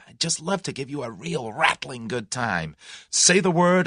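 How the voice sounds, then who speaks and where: Man playing a brash, forceful young American suitor. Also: mid-range voice, no room sound, clean studio sound, voice pushed up. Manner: forceful, plain-spoken, slangy. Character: brash, direct, warm-hearted young American businessman suitor. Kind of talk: audiobook character voice.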